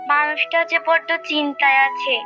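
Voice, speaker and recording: Lady doing a worried village mom for a cartoon, high voice, fretful, music playing behind. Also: level tone, moderate pace, close to an everyday voice. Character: anxious, protective village mother. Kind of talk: Bengali cartoon voice acting. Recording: dull lo-fi sound, music behind.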